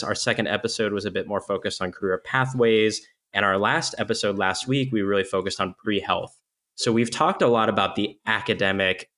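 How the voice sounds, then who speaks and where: Male podcast host, low voice, steady and explanatory. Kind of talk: hosted university podcast talk.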